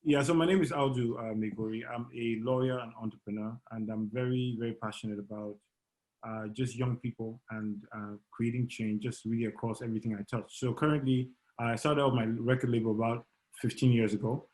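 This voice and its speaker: A man's voice: medium pitch, steady tone, fairly quick, a little mellow, rough recording. A man, a lawyer and entrepreneur, speaking casually as a panel guest over a video call, joking and stumbling over his first words.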